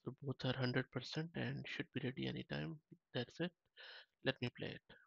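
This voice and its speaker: A man's voice: medium pitch, steady tone, normal speed, vocal fry, a bit bright, slightly rough, dull audio. A man hosting a tech tutorial, thinking aloud in a casual walkthrough with frequent restarts. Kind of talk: casual screen-share walkthrough.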